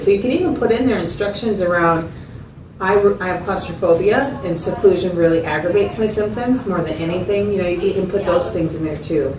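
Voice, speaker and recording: A woman's voice: on the low side, close to an everyday voice. A woman playing a supportive mental health clinician, explaining and reflecting back in a conversational clinical interview. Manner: reflective, explanatory, checking in with the listener. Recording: distant mic, roomy echo, loud background noise.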